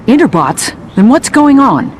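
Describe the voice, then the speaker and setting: Policewoman, expressive pitch swings, businesslike, concerned, video game. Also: measured pace, slight background noise. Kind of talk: video game cutscene acting.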